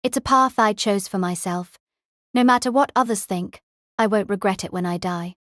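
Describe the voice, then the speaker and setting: A narrator voicing a calm, emotionless young woman who teases in a flat, even tone. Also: mid-range voice, normal speed, dry recording, studio quality, voice pushed up, natural voice. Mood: cool.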